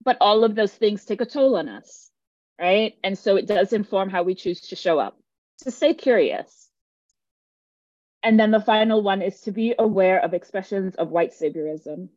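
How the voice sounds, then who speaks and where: A woman's voice: mid-range voice, moderate pace, a little mellow, dry recording, muffled recording. Earnest woman leading a workshop. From Jamaica. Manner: earnest, emphatic, checks in with listeners. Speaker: workshop facilitator. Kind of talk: teaching a live online workshop.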